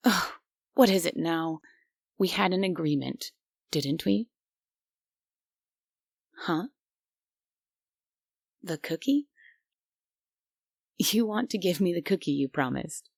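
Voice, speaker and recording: Casting: woman to play a prim, bossy female guardian angel for roleplay audio; low, unhurried delivery, secretly kind under the strictness. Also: lively intonation, vocal fry, breathy edge, no room sound, studio quality, heavily acted. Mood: flustered, huffy. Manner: bossy, prim, grudgingly caring. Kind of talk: immersive roleplay audio drama, ASMR.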